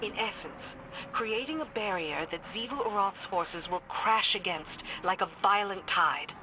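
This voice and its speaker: A woman's voice: medium pitch, measured pace, bright, forceful tone, slight background noise, low-quality recording. A woman playing a stern warrior lieutenant of a queen in a video game, speaking firmly and with clipped authority. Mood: grave, instructive. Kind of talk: dramatic video game dialogue. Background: music.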